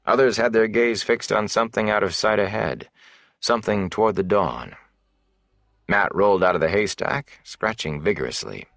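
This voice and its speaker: Deep-voiced male fantasy audiobook narrator. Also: moderate pace, creaky, a bit bright, dry recording, clean studio sound. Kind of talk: scripted narration of a fantasy novel.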